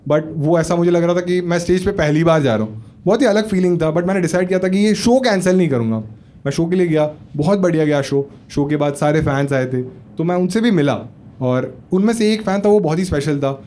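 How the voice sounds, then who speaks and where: A man's voice: on the high side, brisk, darkish tone. A man doing stand-up comedy, telling a story in a chatty way and asking the crowd questions. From Maharashtra, India. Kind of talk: stand-up set with crowd work. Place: comedy club stage. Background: audience laughter.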